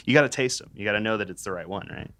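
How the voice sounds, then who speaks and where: A man's voice: on the low side, lively intonation, rapid-fire. A young man in a band interview, joking around, swearing freely and laughing a lot. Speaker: band member. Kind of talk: casual interview chat.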